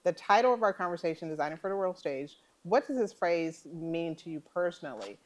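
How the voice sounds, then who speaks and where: Female interview host: brisk pace, lowish voice, conversational, echoes her words in short affirmations. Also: expressive, a crowd in the background.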